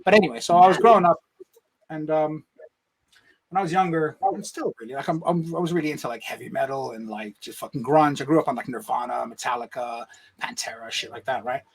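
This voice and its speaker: A man's voice: mid-range voice, fairly quick, creaky, breathy edge, low-quality recording. A man from Egypt telling a personal story in a casual interview, rambling and swearing, with frequent "right?" tags. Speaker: podcast guest.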